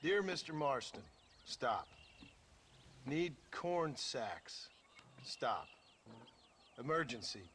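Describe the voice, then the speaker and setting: An actor playing a gruff, wry former outlaw turned rancher in a video game, with a rural American accent and a dry, folksy, plain-spoken manner. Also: male voice, medium pitch, very animated, extremely slow, pauses often, a bit bright, a little hiss or hum, heavily acted. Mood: plain, defensive. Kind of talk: video game cutscene acting.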